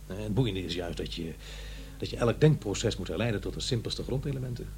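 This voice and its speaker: A man's voice: lowish voice, expressive, brisk, darkish tone, slight background noise. An actor playing an awkward, defensive divorced father in middle age in a radio play, with a hesitant delivery. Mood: earnest, hesitant. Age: middle-aged. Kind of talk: radio play acting, naturalistic dialogue and narration.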